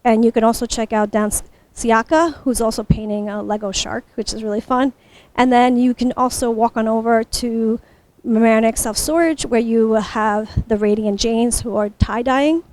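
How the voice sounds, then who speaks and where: A woman's voice: fairly high pitch, steady tone, moderate pace, a little mellow, no room sound. A woman giving an informal guided rundown of a local event as its presenter. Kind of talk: informal event walkthrough to camera.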